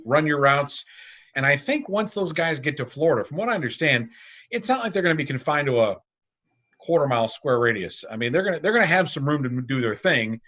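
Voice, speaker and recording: Bright-voiced man talking sports. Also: mid-range voice, dull audio. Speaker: radio and arena announcer guest. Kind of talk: casual sports discussion.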